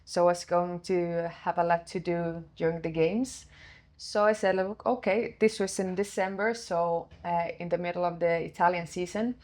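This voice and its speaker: A Swedish woman in her thirties, her voice sitting a little low for a woman. She speaks English as a second language, talking in an easy, rambling interview manner with plenty of fillers and restarts. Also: flat delivery, moderate pace, a little mellow. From Sweden. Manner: chatty storyteller, frequent fillers and restarts. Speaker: guest, former goalkeeper and goalkeeper coach. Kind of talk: casual interview conversation.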